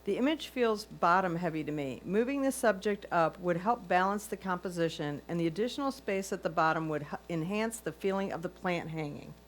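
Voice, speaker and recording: A woman's voice: on the low side, fairly quick, hardly any pauses, slight background noise. A woman serving as a competition reader, reading written photo critiques aloud. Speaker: reader of judges' comments.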